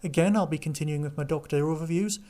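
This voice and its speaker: Chatty male podcast host, slightly high voice. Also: quick pace, dark tone. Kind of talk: casual solo podcast review and listener chat.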